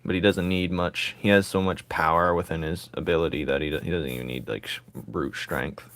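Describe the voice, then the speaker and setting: Raspy man, low register, casual live-drawing streamer who rambles to himself and his viewers. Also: fairly bright tone, dry recording. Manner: rambling, thinking aloud, joking with viewers.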